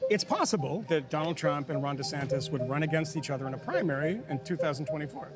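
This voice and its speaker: A male reporter asking voters quick, conversational, probing questions. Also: a little high, animated, loud music behind the voice. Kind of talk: street interviews.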